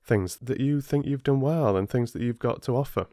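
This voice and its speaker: A man's voice: medium pitch, normal speed, dark tone, dry recording, studio quality. A man hosting as a storyteller, reading aloud and chatting encouragingly to his listeners. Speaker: storyteller and channel host. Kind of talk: audiobook storytelling and chat to listeners.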